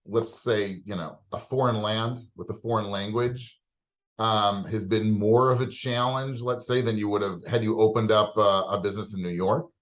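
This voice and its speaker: A man hosting an interview, asking questions in a halting way as he searches for words. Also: lowish voice, fairly even intonation. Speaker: interview host.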